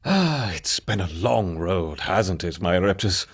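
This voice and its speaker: A man playing a gleeful, obsessive mad scientist in a video game, with a husky voice and a theatrical, coaxing, menacing delivery. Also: sits low, expressive, slow pace, full character voice. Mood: triumphant, gloating. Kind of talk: video game character monologue.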